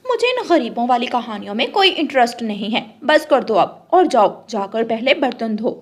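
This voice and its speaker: A woman's voice: a little high, very animated, normal speed. A woman voicing a haughty rich female crow, curt and commanding, in a cartoon tale. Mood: scornful, bossy.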